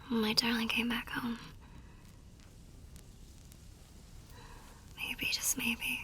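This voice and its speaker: Woman acting a soft, high-voiced wartime nurse sweetheart. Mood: longing, tearful. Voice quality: soft, breathy, often dropping to a whisper. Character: tender, wistful young woman, wartime army nurse. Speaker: voice actor in a romance audio roleplay. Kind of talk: ASMR romance roleplay acting. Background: music.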